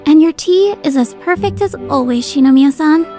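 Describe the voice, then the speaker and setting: A woman's voice: high voice, animated, normal speed. A woman voicing a sweet, nosy teenage schoolgirl in an anime fandub radio play, coaxing and teasing with dry asides. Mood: polite, sweet. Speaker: voice actor. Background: music.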